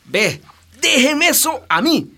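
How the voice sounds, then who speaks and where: High-voiced, exuberant flirty cabbie. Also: male voice, sing-song, takes it slowly, bright, forceful tone, full character voice. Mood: reassuring, persuasive. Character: cheery, flirty, slangy taxi driver friend, big-hearted. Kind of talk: radio soap opera acting.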